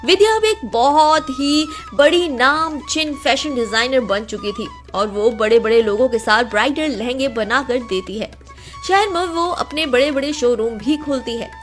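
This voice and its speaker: A woman's voice: high-pitched, unhurried, soft background music. A woman narrating a scripted cartoon moral story as its storyteller.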